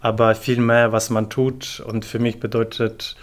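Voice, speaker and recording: Slow, measured man from Ukraine. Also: medium pitch, level tone. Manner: slow, measured, many pauses and fillers. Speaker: interview guest, volunteer organizer. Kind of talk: thoughtful interview answers.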